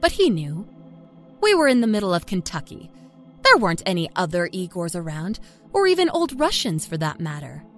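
A woman playing a self-satisfied small-town liar from Kentucky, telling her dark story in a folksy, confiding way. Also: lowish voice, huge pitch swings, moderate pace. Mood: sly, gleeful. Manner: folksy, chatty, smugly confiding. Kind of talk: first-person dark storytelling. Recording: music under the narration.

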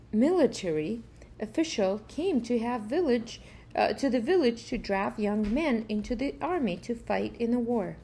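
A middle-aged woman reading a children's story aloud in an expressive storytelling style, with deliberate phrasing. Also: on the high side, a little hiss or hum. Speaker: reader of a children's picture book. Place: room at home, indoors.

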